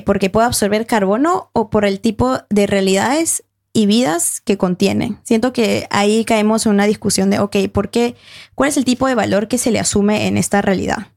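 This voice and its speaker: A young woman with a slightly gravelly, fry-tinged voice. She explains ideas thoughtfully, pausing on fillers and correcting herself as she goes. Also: medium pitch, a little mellow. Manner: thoughtful, hesitant, many fillers and self-corrections. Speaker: panel guest. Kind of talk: academic discussion.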